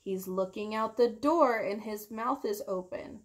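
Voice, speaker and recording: A young woman reading a picture book aloud to children expressively, drawing out key words and explaining it in simple terms. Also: medium pitch. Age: young adult. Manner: slow, deliberate, drawn-out key words. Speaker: teacher reading a children's storytime.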